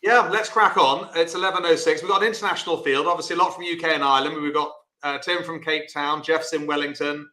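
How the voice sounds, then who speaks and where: A man teaching an online bridge lesson, chatty and encouraging, explaining step by step in a loud voice. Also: on the high side, fairly even intonation, quick pace, hardly any pauses, reverberant.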